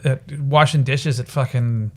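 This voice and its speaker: A man in his forties, a stand-up comedian guesting on a podcast, telling stories in a halting, repetitive way. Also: medium pitch, fairly even intonation. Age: 40s. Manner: halting, repeats words, storytelling. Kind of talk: casual comedy podcast chat. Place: recording studio.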